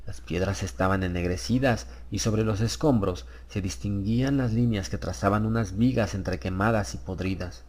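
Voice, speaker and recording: A man's voice: on the low side, darkish tone. A man reading a scripted horror story as a dramatic audiobook narrator.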